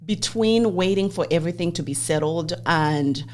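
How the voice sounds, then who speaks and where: A woman in her forties, originally from Zambia, hosts a podcast and talks through her own life story. Her voice sits a little low and her delivery is open and reflective. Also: normal speed. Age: 40s. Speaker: podcast host. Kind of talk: personal interview conversation.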